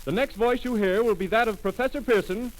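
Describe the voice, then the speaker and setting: An actor playing a radio announcer reading urgent news bulletins in a crisp manner, in a 1930s radio drama. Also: male voice, high voice, brisk, natural voice. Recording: scratchy 1930s radio recording.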